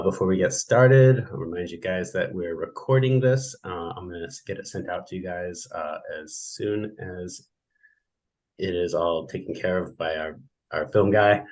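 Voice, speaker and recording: A man opens a livestreamed studio program, stopping and restarting often with fillers. His voice sits a bit low. The delivery stays casual and unpolished. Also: a little mellow. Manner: loose and halting, with many fillers. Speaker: studio programs manager, host. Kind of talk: casual live-stream introduction.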